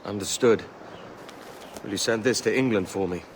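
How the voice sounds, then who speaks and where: Low-voiced, terse pirate captain played by a man. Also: normal speed, slight background noise, close to an everyday voice. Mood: businesslike. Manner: terse, businesslike. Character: brisk, commanding young pirate captain. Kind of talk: video game acting.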